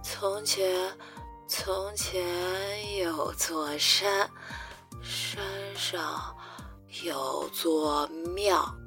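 Awkward young female soldier, audio drama; slow, bumbling, hesitant delivery, soft-hearted. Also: fairly low pitch, fairly even intonation, faint music behind, full character voice. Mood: drunk, childlike. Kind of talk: modern audio drama acting.

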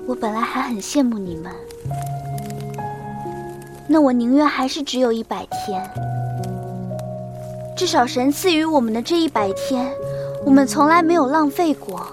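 A woman's voice: sits high, animated, normal speed, pauses often. A woman playing a tender, wistful young woman in a sci-fi radio drama. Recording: music bed under the voice.

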